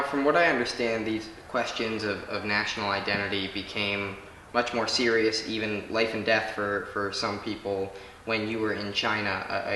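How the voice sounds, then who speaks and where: Bright-voiced young male host, echoey. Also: on the low side, slight background noise. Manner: upbeat, scripted introductions. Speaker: student show host. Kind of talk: student TV interview hosting. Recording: echoey room.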